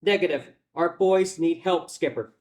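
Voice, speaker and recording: Man playing a defiant, higher-voiced fighter pilot. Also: measured pace, a little mellow, lightly acted. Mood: resolute. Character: defiant, brave young male fighter pilot on radio. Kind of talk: dramatic script table read.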